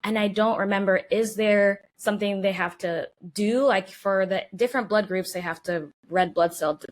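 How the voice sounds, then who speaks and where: Female podcast co-host, medium pitch, casual and chatty questioner. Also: moderate pace.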